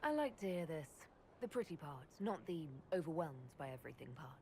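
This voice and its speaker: An actress playing a calm, earnest leader in a fantasy video game, with a dry, restrained manner. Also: female voice, low-pitched, brisk, slight background noise. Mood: dry, wry. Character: composed, earnest young female fantasy leader, dryly wry. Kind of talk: video game character acting.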